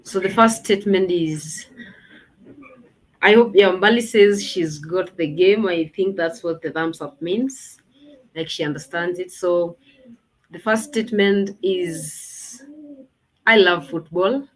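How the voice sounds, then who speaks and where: A woman's voice: lowish voice, slow pace, poor audio. A woman hosting a casual online launch party over a video call, chatty and thinking aloud as she talks. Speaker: host.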